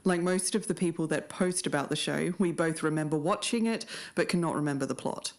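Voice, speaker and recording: A woman's voice: low voice, a little fast. A woman co-hosting a podcast and delivering scripted narration. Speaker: podcast co-host.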